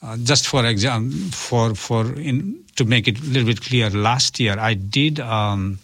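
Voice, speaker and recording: A man with Nepali as his native language speaks as an interview guest at a middling pitch. His phrasing is formal and deliberate, and he comes across as earnest. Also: fairly bright tone. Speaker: interview guest, community worker and officiant for migrants and refugees.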